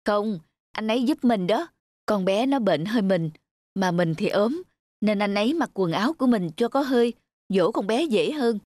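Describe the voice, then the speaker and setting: A woman voicing a soft, weary young wife and new mother in a cartoon. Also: medium pitch, lively intonation, moderate pace, lightly acted. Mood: explaining fondly. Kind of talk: cartoon voice acting.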